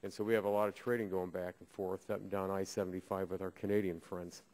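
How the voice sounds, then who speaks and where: A man from Ohio speaking as a congressman at a committee hearing, halting often with filler pauses, at a desk microphone in a hearing room. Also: deep voice, little pitch movement, darkish tone, poor audio.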